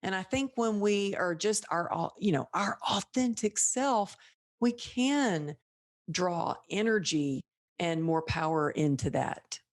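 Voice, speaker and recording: A woman from Texas hosting a podcast, telling stories in a lively way and acting out the lines she quotes. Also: mid-range voice, slow pace. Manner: animated storyteller, acts out quoted dialogue. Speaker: podcast host. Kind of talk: casual interview chat.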